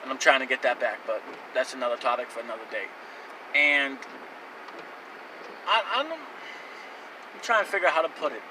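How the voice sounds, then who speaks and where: A man's voice: medium pitch, lively intonation, moderate pace, long pauses, far from the mic, noisy background. A man in his twenties telling his own life story to camera in a rambling, candid way, with fillers and restarts. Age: 20s. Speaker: vlogger.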